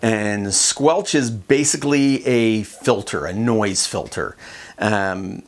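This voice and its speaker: Low-voiced man casually explaining gear. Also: takes it slowly. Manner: plain-spoken, conversational explaining. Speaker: kayak fishing instructor. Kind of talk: casual instructional demonstration.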